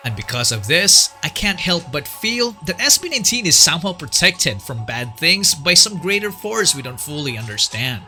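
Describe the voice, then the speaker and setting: Bright, lively male documentary narrator. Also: fairly high pitch, normal speed, hardly any pauses, soft background music. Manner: conversational, asks the viewer questions.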